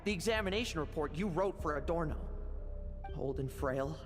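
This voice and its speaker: An actor playing a sly young schemer in a video game, taunting smoothly and theatrically. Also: male voice, fairly high pitch, animated, normal speed, natural voice. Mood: coolly menacing. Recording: long reverb over game music.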